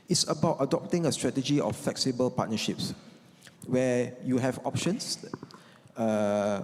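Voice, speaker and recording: A man from Singapore speaking as a conference panelist, laying out numbered points in a measured way with some fillers. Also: mid-range voice, a little mellow. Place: conference hall. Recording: reverberant conference hall.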